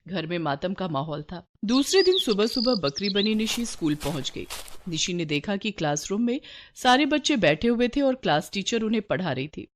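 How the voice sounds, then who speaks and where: A woman's voice: medium pitch, slight background noise. A woman reading a scripted moral story for children as its narrator.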